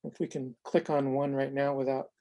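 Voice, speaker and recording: An American man presenting an unscripted explanatory demo of a software tool, speaking in a measured, halting way with frequent pauses. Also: medium pitch, level tone, muffled recording.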